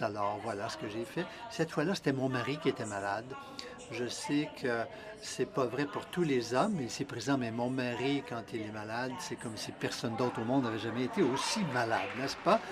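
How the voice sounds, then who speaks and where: A man introducing a speaker and then interpreting her talk, relaying it in the first person in a measured, even way. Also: mid-range voice. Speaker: interpreter and emcee. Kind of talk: live interpretation of a seminar talk.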